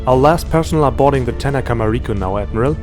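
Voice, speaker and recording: A man's voice: mid-range voice, rapid-fire, close to an everyday voice. Audio drama bit part: male actor as a dutiful starship ensign, clipped and deferential, faint music underneath. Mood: dutiful, businesslike. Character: young male navy ensign, dutiful junior officer. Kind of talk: sci-fi audio drama acting.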